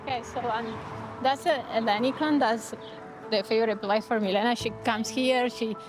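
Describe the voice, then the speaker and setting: Woman, halting, lots of fillers, mid pitch, music clearly behind. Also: steady tone. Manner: halting, many fillers and restarts. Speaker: interviewee, psychotherapist and art therapist. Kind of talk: casual interview talk.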